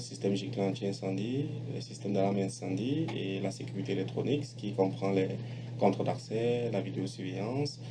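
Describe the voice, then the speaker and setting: Low-voiced man, halting, over background noise. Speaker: entrepreneur, electronics technician by training. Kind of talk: personal testimonial, explaining his career. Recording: clearly noisy background ambience.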